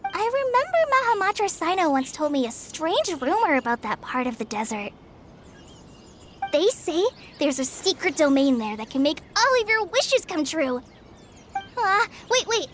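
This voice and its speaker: A woman's voice: very high voice, sing-song, normal speed, a little hiss or hum. An actress playing a shy, earnest young woman forest ranger who hesitates and stammers, in a game. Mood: thoughtful. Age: young adult. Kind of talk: game voice acting.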